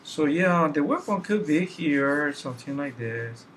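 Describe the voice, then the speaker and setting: A man's voice: a little high, expressive, unhurried, slight background noise. A middle-aged man with a Spanish accent, a native Spanish speaker, chatting casually while sketching on a live stream. He searches for words, with many fillers and restarts. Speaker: artist streaming a drawing session. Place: small home room, indoors.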